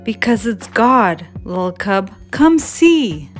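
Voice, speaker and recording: A woman voicing a patient, loving mother bear who explains things gently in a storybook. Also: medium pitch, very animated, takes it slowly, soft background music. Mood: reassuring.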